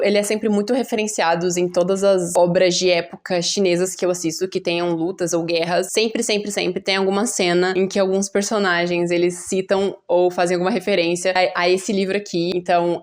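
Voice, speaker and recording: Chatty Brazilian woman, slightly low voice. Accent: Brazilian Portuguese. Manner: enthusiastic, informal. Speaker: book vlogger. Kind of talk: casual chatty book talk to viewers.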